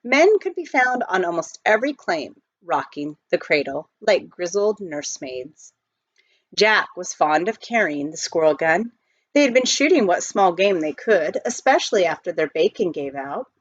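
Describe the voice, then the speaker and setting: Woman, expressive storybook read-aloud, lively pitch, dry, lo-fi sound. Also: moderate pace, no echo. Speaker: audiobook reader, teacher. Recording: dull lo-fi close recording.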